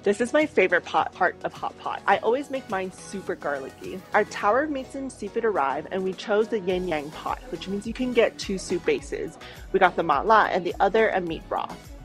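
A woman's voice: medium pitch, lively intonation, moderate pace. A woman narrating a travel vlog voice-over in an informative, upbeat tour-guide manner. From Taiwan. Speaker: travel vlogger. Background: faint background music.

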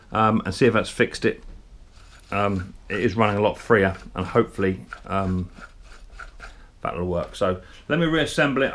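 A man, casually talking through a chainsaw brake repair in his workshop, chatty and a bit self-deprecating. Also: fairly low pitch. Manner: chatty, self-deprecating, thinks aloud while working. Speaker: small engine repair video host. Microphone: head-mounted camera. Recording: clear background noise and tool clicks.

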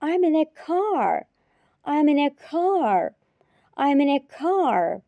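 A woman teacher repeating short phrases slowly and carefully in a repetition drill. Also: sits high, sing-song, gravelly, no echo, muffled recording. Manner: repeats each phrase three times, carefully.

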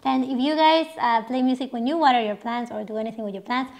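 A woman's voice: a little high, steady tone, fast. A young woman from Mexico, hosting a casual Q&A on her sofa and laughing often. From Mexico, raised partly in Canada. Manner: chatty, laughs often, many restarts. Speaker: channel host. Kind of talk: casual Q&A chat. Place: living room sofa, indoors. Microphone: camera a few feet away.

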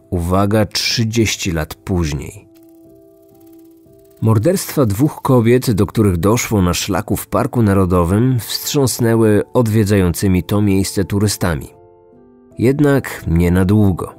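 A man's voice: on the low side, a little mellow. A man in his thirties reading a scripted true crime podcast narration as its host. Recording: music bed under the voice. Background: background music.

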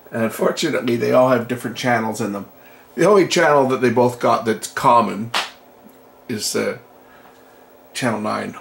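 A man's voice: mid-range voice, vocal fry, husky. A middle-aged man giving casual, blunt, slightly grumbling commentary while doing hands-on repair at an indoor workbench. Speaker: repair video host. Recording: faint background noise with clicking.

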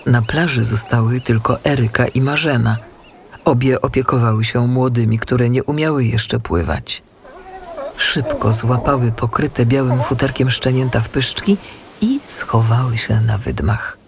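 A man narrating a scripted children's audiobook in an expressive, measured storytelling manner. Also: medium pitch, gravelly, slight background noise.

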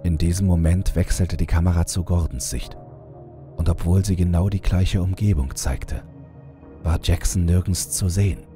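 A man's voice: low voice, steady tone, moderate pace, over music. A man reading scripted first-person horror fiction as an audiobook narrator.

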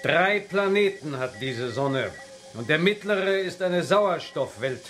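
An actor playing a grave, thoughtful professor in a children's sci-fi radio drama, explaining things in a deliberate way. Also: male voice, on the high side, lively intonation, heavy background noise. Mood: matter-of-fact.

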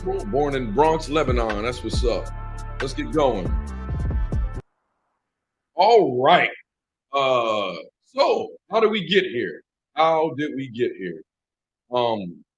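A hoarse man in his thirties, losing his voice, hosting a casual livestream from a small room and greeting viewers by name. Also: mid-range voice, low-quality recording. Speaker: livestream host.

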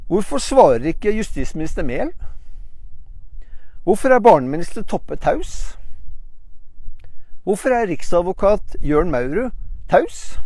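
A man's voice: sits high, mellow, no room sound. An older man, sitting on a mountaintop and speaking to camera on a lapel mic, reading a letter aloud and then asking pointed questions with hesitant fillers. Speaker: channel host. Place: outdoors on a rocky mountaintop.

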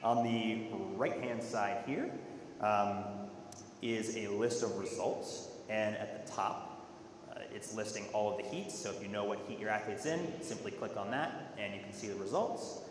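Male conference presenter, lower register, explanatory and halting, heavy hall reverb with faint noise. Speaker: software presenter. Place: large dark conference auditorium, at a podium.

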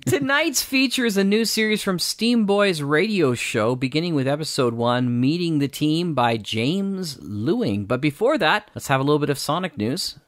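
A man's voice: a little high, expressive, normal speed, hardly any pauses, no echo, clean studio sound. A man, co-hosting a radio drama podcast in casual chat.

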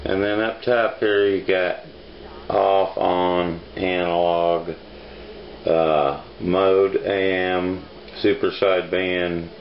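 A middle-aged man talking casually and haltingly in a plainspoken way, as if showing a gadget on a home video. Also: low voice. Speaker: amateur vlogger, radio hobbyist. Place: small indoor room, kitchen-like. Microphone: handheld camera at arm's length. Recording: dull lo-fi camera audio, clear noise.

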